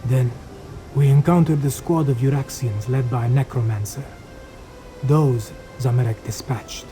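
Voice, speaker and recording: An actor playing a solemn, devoted cat-folk warrior monk in a video game, speaking formally and earnestly in measured phrases. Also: male voice, medium pitch, dark tone, faint music behind, close to an everyday voice. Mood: matter-of-fact. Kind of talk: video game character dialogue.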